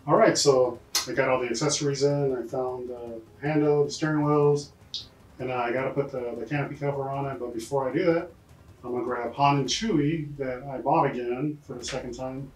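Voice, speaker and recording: An enthusiastic man in his forties talks casually through an unboxing, exclaiming over every detail. His voice is a little husky and sits at an average pitch. He is recorded on a camera mic in a room full of display shelves, with faint music in the background. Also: fairly even intonation, a little fast, low-quality recording. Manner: enthusiastic, exclaims and uses mild profanity. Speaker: collectibles vlogger.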